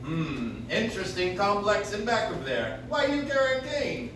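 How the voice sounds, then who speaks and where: Man playing a high-strung, pompous examiner, very echoey. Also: very animated, moderate pace. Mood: suspicious. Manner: curt, interrogating, defensive. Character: nervous, pompous, irritable male store personnel examiner. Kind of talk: 1940s radio acting, live on stage. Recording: echoey distant audience recording, clear noise.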